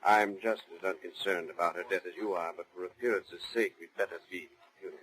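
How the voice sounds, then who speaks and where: Stern family patriarch, older, haughty and curt, hard bright tone, formal vintage 1940s radio delivery. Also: male voice, deep voice, fairly even intonation, brisk, low-quality recording. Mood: cold, unconcerned. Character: stern, cold, haughty older father of wealthy household. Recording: restored 1940s radio drama recording.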